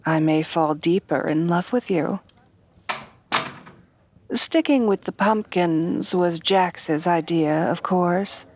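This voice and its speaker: A woman voicing a refined, devoted headless horsewoman bride in a soft, breathy, courtly ASMR roleplay, often dropping to whispers. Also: lowish voice, slow pace. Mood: tender, flirtatious. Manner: refined, formal, courtly, tenderly doting.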